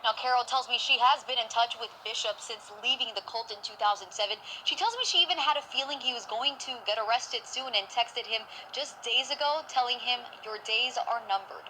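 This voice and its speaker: A woman TV news reporter delivering a scripted live report in a measured, clipped way. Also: a little high, pushed and bright, a little hiss or hum, low-quality recording. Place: on location, live outdoors.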